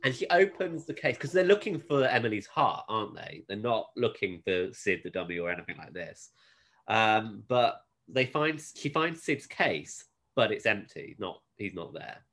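A podcast host talks casually through a story in a jokey, animated way. The voice sits at a middling pitch and moves around a great deal, rising and dropping freely. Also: normal speed. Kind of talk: casual recap chat about a TV episode.

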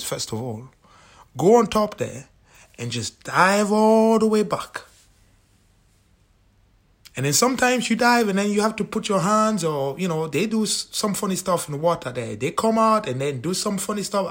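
Cameroonian-accented man, casual vlog chat, fairly high voice, lots of fillers. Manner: animated, repeats himself, many fillers. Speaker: vlogger. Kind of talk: casual countdown commentary.

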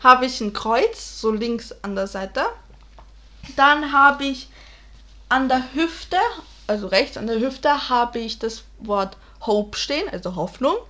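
A woman's voice: on the high side, expressive, slow pace, fairly bright tone. A young woman, chatting casually and laughing often. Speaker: co-host. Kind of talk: casual chat.

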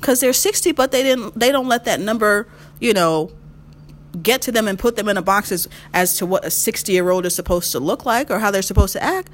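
A woman in her mid-thirties hosting a solo podcast, giving emphatic advice, repeating her key phrases and swinging her pitch when she makes a point. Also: a little fast. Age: 30s. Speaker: podcast host.

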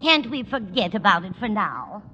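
A woman's voice: mid-range voice, big pitch swings, normal speed, pushed and bright, highs cut off. An actress playing a superstitious older landlady, fretful, plaintive and melodramatically mournful, in a 1950s radio sitcom. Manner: plaintive, dithering. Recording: old radio recording, slight noise.

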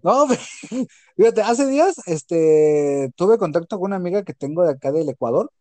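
Man, middle-aged, Jalisco Mexican accent, animated storyteller, crude slang, fairly high pitch, dry sound. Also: moderate pace, no echo. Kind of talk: casual chat.

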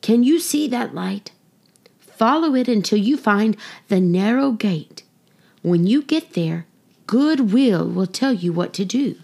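A woman's voice: medium pitch, animated, slow, gravelly, a little mellow, exaggerated voice. A woman voicing a wise, reassuring male evangelist in a storybook, solemn and assured. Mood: assured, guiding.

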